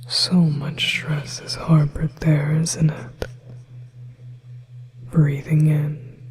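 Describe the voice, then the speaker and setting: Someone playing a possessive demon whispering real slow, even and soothing, asmr hypnosis thing. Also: mid-range voice, poor audio. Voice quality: breathy whisper. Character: possessive, coaxing demon hypnotist luring a listener into submission.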